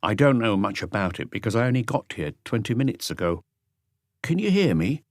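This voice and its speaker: A man's voice: lowish voice, very animated, a little fast, dry recording, studio quality. A man voicing a middle-aged, formal detective inspector who speaks carefully and courteously on the telephone in an audiobook. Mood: solemn, careful.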